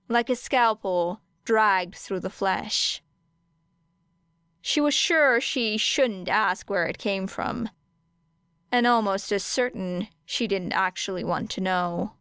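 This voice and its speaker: A woman's voice: medium pitch, takes it slowly, a bit bright, smooth, no room sound. A woman reading scripted fiction as an audiobook narrator.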